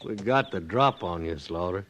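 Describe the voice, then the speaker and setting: Low-voiced menacing frontier sheriff. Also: male voice, expressive, moderate pace, fairly bright tone, slight background noise. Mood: threatening. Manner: confrontational, folksy frontier grammar. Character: menacing, crooked, blustering male frontier sheriff. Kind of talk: 1950s radio western acting. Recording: vintage radio drama recording.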